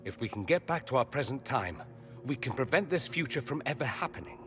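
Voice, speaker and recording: An articulate male mage from a video game played by an actor, with a lively, swooping mid-range voice. He is persuasive and quick-witted, with faint music underneath. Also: medium pitch, close to an everyday voice. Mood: explaining. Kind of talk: video game cutscene acting.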